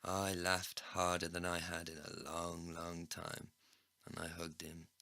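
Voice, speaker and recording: A man narrating a scripted first-person comedy story in an expressive, sweary storytelling manner. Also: low voice, takes it slowly, creaky, no echo. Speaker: narrator and lead character.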